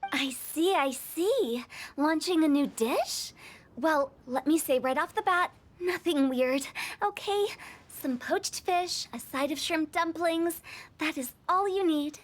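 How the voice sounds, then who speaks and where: Quirky young game character, cheeky, theatrical, girlish, very high pitch, music behind. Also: slow pace. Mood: confident, opinionated. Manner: playful, offbeat, theatrical. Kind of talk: video game voice acting.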